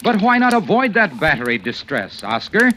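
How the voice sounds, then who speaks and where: High, bright 1940s radio pitchman. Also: big pitch swings. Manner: brisk, punchy salesman delivery. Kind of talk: 1940s radio commercial announcing. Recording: noisy old radio recording.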